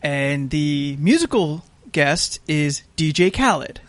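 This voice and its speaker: A man's voice: fairly high pitch, animated, slow, no room sound. An American man hosting a casual podcast, telling anecdotes in a rambling, hesitant, wry way. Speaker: podcast host.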